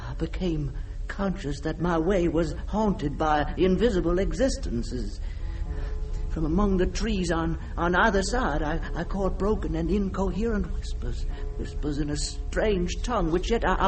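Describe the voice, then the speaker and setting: Slow, haunted stammering man. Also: sits high. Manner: halting, stammering, theatrical delivery with repeated words. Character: haunted, guilt-ridden man recounting his nightmare in terror. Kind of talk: old-time radio mystery drama monologue. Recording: old radio drama with music underneath.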